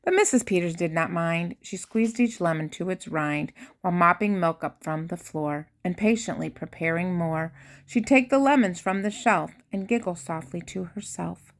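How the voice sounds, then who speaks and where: An older woman, a grandmother, reads a rhyming children's picture book aloud with expressive, theatrical emphasis on key words. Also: fairly low pitch. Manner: theatrical emphasis, drawn-out key words, dropping to hushed asides.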